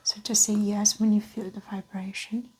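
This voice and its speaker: A woman whispering softly in an ASMR roleplay as a doctor, giving gentle, methodical clinical instructions and observations. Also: mid-range voice, level tone, moderate pace, slight background noise. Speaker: ASMR performer playing a doctor. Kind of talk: soft-spoken whispered ASMR medical roleplay.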